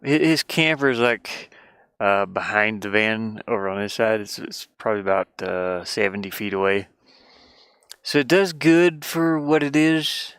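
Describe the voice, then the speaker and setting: Low, gravelly man, casual and rambling. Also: a little slow, a bit bright, no room sound. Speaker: livestreamer drawing on an art tablet. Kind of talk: casual rambling chat while drawing. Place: inside a metal van lined with insulation.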